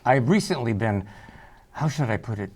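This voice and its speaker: An actor playing a sly, unsettling doctor under questioning, theatrical and mockingly polite, at a live table read. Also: male voice, medium pitch, animated, fairly quick, lightly acted. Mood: smug, cryptic.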